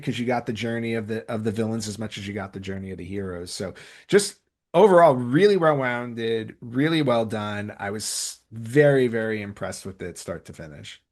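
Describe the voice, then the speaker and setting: A man's voice: on the low side, a little mellow. A man talking casually as a podcast host, enthusiastic and emphatic, often repeating phrases to stress his point.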